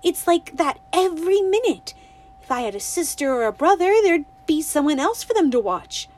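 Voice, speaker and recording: A woman's voice: high-pitched, very animated, normal speed, a little mellow, voice pushed up. A woman reading a story aloud voices a restless, spirited young girl who talks to a toad, by turns pleading and defiant. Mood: wistful.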